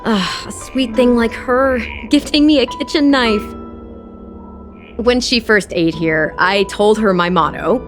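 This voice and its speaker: A woman's voice: fairly high pitch, measured pace, lightly acted. A woman voicing a gracious, hearty restaurant chef in a video game, effusive and fond of telling stories. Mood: fond, admiring. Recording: studio voice with clear music behind. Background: game music.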